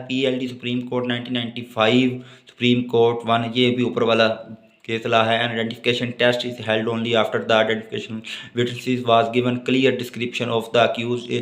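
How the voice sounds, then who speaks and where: Man, Pakistani accent, lecturer, slow delivery, halting reading from notes, explanatory teaching style, medium pitch. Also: a bit bright. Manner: halting, word-by-word when reading aloud. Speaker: law lecturer.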